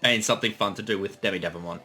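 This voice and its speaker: A man's voice: on the low side, rapid-fire, sharp tone, faint music behind. A man giving casual creator commentary, talking conversationally about his own series.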